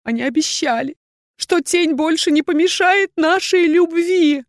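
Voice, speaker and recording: Женщина играет плачущую, отчаянно молящую виверну, высоко. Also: female voice, high-pitched, sing-song, brisk, dry recording, studio quality, pitched above the performer's own voice. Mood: frightened confessing. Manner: pleading, breathless, sobbing exclamations. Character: desperate, tearful wyvern woman, a spurned mistress pleading.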